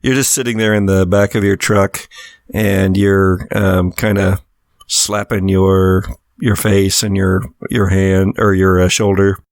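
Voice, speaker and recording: A man working as game master, narrating a horror tabletop game in a halting way, searching for words. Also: sits low, level tone, no room sound, studio quality.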